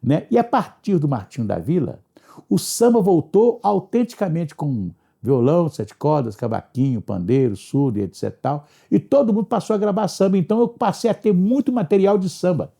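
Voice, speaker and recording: An older Brazilian man, a radio presenter, reminiscing casually in a raspy, gravelly voice. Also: high voice, animated, moderate pace, mellow.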